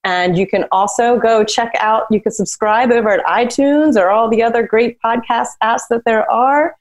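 A woman's voice: on the high side, fairly even intonation, normal speed. Woman co-hosting a podcast, laughing often. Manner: laughs often, friendly teasing. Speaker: podcast co-host. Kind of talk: casual podcast chat.

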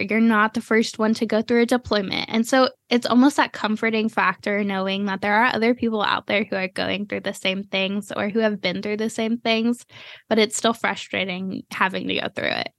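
A woman's voice: medium pitch, no room sound. Chatty woman host, dry close sound. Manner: chatty, quick, with frequent "you know" fillers. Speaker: podcast host. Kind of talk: casual interview chat.

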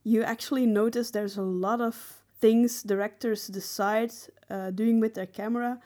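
A woman co-hosting a podcast, discussing film casually and analytically, often pausing mid-phrase to find her words. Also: a little high, fairly even intonation, dark tone. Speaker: podcast co-host.

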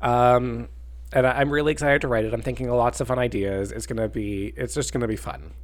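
A man's voice: mid-range voice, fairly even intonation, fairly quick. A congested, sniffly man co-hosting a podcast, chatty and jokey in casual conversation. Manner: chatty and jokey, laughs often. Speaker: podcast co-host. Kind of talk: casual chat.

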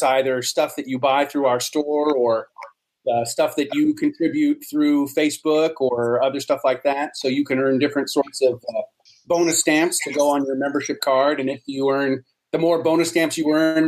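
A man's voice: on the high side, low-quality recording. A man talking as an interview guest, explaining things in a casual conversational way. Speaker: interview guest, society co-founder.

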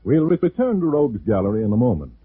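A man's voice: medium pitch, big pitch swings, quick pace, over loud music. A man reading a scripted commercial as a 1940s radio announcer. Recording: dry, tinny 1940s radio recording.

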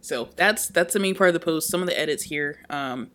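A woman co-hosting a podcast, reading a post aloud in an animated way and laughing at herself. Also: low voice, brisk. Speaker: podcast co-host.

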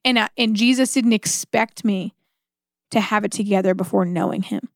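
A woman's voice: mid-range voice, moderate pace, no echo. Earnest woman in dry, close conversation. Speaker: podcast co-host. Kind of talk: conversational religious discussion.

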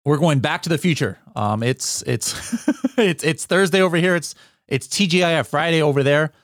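A man's voice: fairly high pitch, moderate pace, dry recording, studio quality. A man hosting a podcast, upbeat and joking, often tripping over his words as he asks questions. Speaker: podcast host. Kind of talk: casual podcast interview.

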